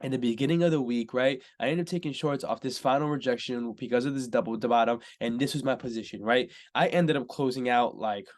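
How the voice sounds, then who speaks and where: A man's voice: mid-range voice, quick pace, few pauses. A young man with a slightly congested voice talks through a trading chart in a loose, chatty way. He keeps checking in with "right?" and gets worked up when a setup lines up, sounding like a mentor showing his own trades. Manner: casual, says "right?" constantly, excitable. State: a little congested. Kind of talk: screen-share chart breakdown.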